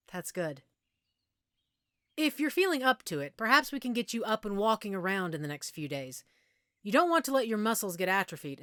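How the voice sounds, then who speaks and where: A woman playing a friendly werewolf pack member in an intimate audio roleplay, patiently explaining and gently reassuring the listener. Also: medium pitch, no echo, studio quality.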